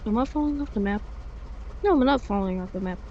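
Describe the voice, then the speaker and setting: A woman giving excitable live gameplay commentary, with frequent short repeated exclamations. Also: medium pitch, fast talker, highs cut off, slight background noise. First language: Thai. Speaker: gamer playing a storm-chasing game.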